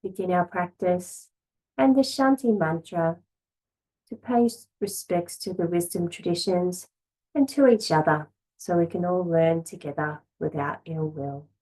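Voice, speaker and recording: Slow, gentle woman guiding yoga relaxation. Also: lowish voice, low-quality recording. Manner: calm, gentle, unhurried, with long pauses between short phrases. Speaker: yoga teacher. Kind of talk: guided relaxation and yoga class instruction.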